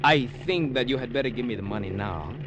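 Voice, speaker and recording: An actor playing a courteous, scheming Cuban police lieutenant with a Cuban Spanish accent and formal phrasing, in 1950s radio adventure style. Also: male voice, mid-range voice, lively intonation, fast, dull audio, lightly acted. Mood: smooth, courteous. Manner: formal, polite, over-apologetic phrasing. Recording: noisy old radio broadcast recording.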